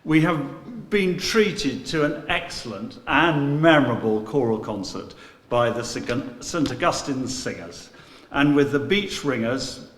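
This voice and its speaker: A man giving a courteous, formal speech of thanks as a guest dignitary in a parish church, with measured phrasing. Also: medium pitch, fairly bright tone. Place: inside a parish church, before an audience. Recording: echoey church with long reverb.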